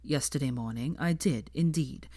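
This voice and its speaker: A woman voicing a smug young wizard man who explains himself calmly, carefully and with unhurried self-assurance, as in a storybook. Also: very deep voice, breathy edge, no echo, studio quality, voice pushed down.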